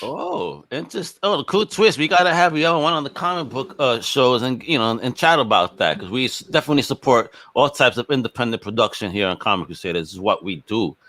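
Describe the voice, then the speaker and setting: A man hosting a podcast in a casual, slangy chat style with lively banter. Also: mid-range voice, brisk, few pauses, no echo. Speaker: podcast host.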